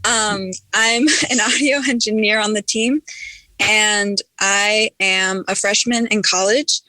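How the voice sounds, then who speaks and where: Bright teenage woman, dry close sound. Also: medium pitch, fairly even intonation, slow, no echo, poor audio. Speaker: podcast team member, audio engineer. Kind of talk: casual chat.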